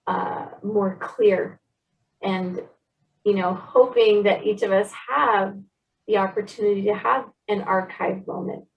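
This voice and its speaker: A woman interviewing a guest, affirming and encouraging her answers. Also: mid-range voice. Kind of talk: conversational interview. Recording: voice a bit distant from the mic.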